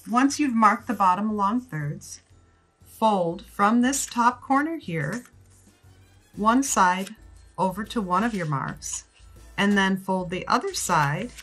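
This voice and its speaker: A woman in her sixties giving plain, measured step-by-step instructions for a paper craft. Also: medium pitch, lively intonation, a bit bright, soft background music. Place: wood-cabinet room indoors, at a craft table.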